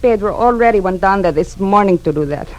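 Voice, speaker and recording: A woman's voice: mid-range voice, animated, brisk, a little mellow, a little hiss or hum, natural voice. An actress playing a distraught older mother with a Spanish accent in an old-time radio drama. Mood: anxious, aggrieved. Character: distraught older Spanish-speaking mother pleading for her son.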